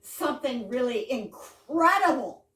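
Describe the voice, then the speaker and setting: A woman acting an excitable, earnest wife in a staged comedy reading, drawing out her words dramatically. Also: sits high. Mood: excited. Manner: dramatic, drawn-out delivery. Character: excitable wife, breathlessly earnest about trivial things. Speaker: amateur readers theater actor. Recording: echoey room, far mic, background noise.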